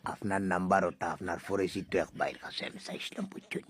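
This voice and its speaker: A man's voice: low-pitched, fast talker, slightly rough. A man making a comic prank phone call, rambling and repeating himself. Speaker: prank caller.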